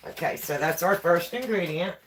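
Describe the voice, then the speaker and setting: A woman's voice: on the low side, moderate pace, a little hiss or hum, rough recording. Woman, West Virginia accent, chatty live stream host, home kitchen, camera on a tripod. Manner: chatty, repeats herself, reads viewer comments. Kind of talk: casual cooking live stream chat.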